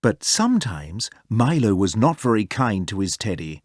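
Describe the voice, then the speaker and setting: Animated male storybook narrator, dry sound. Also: fairly low pitch, normal speed, slightly rough, no room sound. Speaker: storybook reader. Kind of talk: animated children's read-aloud narration.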